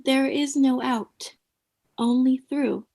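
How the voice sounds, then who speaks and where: An actress playing a bossy, ominous sitcom roommate with theatrical, emphatic delivery in a comedic radio play. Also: female voice, sits high, steady tone, slow, creaky, mellow, heavily acted. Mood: ominous, knowing.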